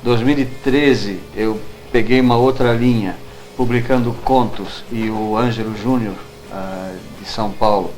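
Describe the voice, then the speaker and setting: A man's voice: lowish voice, fairly even intonation, unhurried, a little mellow, faint music behind, rough recording. A Brazilian man speaking as a guest author, recounting his work in an informal interview.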